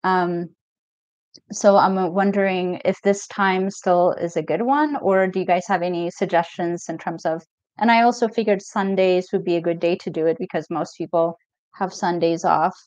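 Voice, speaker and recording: A middle-aged woman from Ethiopia, a mental health coach hosting a live stream Q&A in a relaxed, chatty way, reading viewer comments aloud with many fillers. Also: fairly low pitch, moderate pace, no room sound. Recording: dull lo-fi stream audio, dry.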